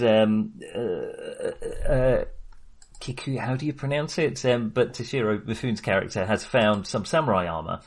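A man talking casually as a podcast host discussing films, enthusiastic but hesitant and rambling as he goes. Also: lowish voice.

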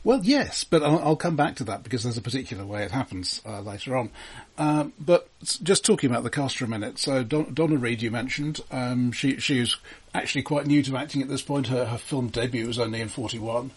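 A man co-hosting a casual film discussion, giving information in a halting, dryly humorous way. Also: medium pitch, husky. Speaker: film podcast co-host.

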